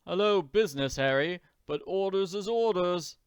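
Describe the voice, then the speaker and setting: A man's voice: sits high, expressive, normal speed, smooth, no echo, pitched above the performer's own voice. A man voicing a deferential, reluctant household servant from a comic strip, hesitant and formal. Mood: uneasy.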